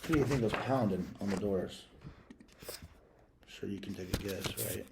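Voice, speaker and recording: A man reading a children's picture book aloud as a storyteller, in a measured, dramatic way with pauses and asides to listeners. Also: fairly low pitch, vocal fry, very noisy.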